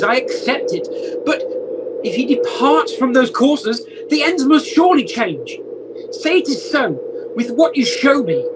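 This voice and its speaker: An actor playing an emotional, repentant old male miser in an amateur radio play, with theatrical, halting exclamations. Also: mid-range voice, noisy background. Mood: pleading, solemn. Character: repentant old male miser, desperate then giddily joyful. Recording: home video-call audio.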